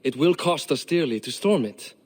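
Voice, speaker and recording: A wry, weary Viking lord. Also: male voice, a little high, lively intonation, normal speed. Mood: rueful. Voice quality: rueful, dryly joking. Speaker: Viking king of Dublin. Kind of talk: video game cutscene acting.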